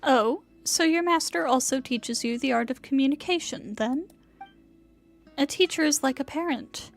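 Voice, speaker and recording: Video game immortal inventor: high voice, formal, proud, motherly, lofty old-fashioned diction. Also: moderate pace, faint music behind. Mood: thoughtful, advising.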